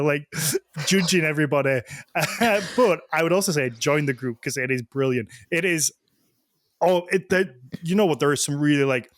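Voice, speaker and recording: A man's voice: a little high, fairly bright tone, dry recording, clean studio sound. Rambling, chatty male podcast host. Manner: rambling, full of "like" fillers, acts out quoted posts. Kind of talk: casual chat.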